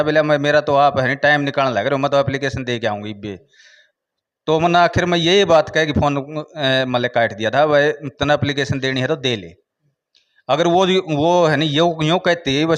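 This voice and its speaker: A man with a Haryanvi accent, a village head giving an informal interview account, haltingly, with repeated filler phrases. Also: fairly high pitch.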